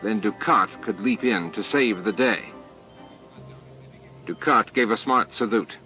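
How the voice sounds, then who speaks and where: Low-voiced man narrating a novel, muffled recording. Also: measured pace. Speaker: audiobook narrator. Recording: dull, lo-fi cassette-like sound. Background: background music.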